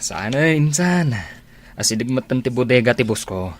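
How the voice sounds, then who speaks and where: A man's voice: medium pitch, lively intonation, moderate pace, slight background noise, lightly acted. A man playing an earnest, meek middle-aged bachelor in a radio drama. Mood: defensive.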